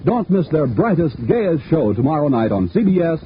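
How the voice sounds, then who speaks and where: A man's voice: on the high side, sing-song, normal speed. A man reading scripted radio announcements in a polished, upbeat salesman's style. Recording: muffled old radio broadcast with faint hiss.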